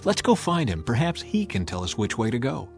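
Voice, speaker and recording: Man acting helpful servant, hopeful, ancient Israel, Bible drama, soft music behind. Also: medium pitch, big pitch swings, brisk. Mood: hopeful suggestion. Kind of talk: dramatized scripture reading.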